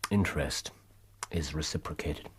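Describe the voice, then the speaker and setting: A man's voice: a bass voice, moderate pace, fairly bright tone, breathy edge, full character voice. A British man acting in a radio drama as a brilliant, impatient consulting detective, incisive, theatrical and sardonic as he probes. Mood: probing, courteous.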